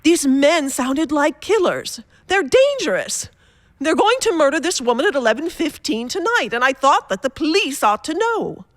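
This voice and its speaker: An actress playing a nervous, complaining invalid wife in a radio play, haughty, fretful and insistent. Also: female voice, high-pitched, big pitch swings, moderate pace, no room sound. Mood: anxious, fretful.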